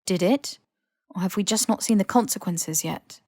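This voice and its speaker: A woman plays an earnest young British woman in a fantasy audiobook. She sounds cautious and analytical, in a mid-pitched voice recorded dry. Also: normal speed, a little mellow, dry recording, natural voice. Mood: worried, reasoning. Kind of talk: audiobook character dialogue.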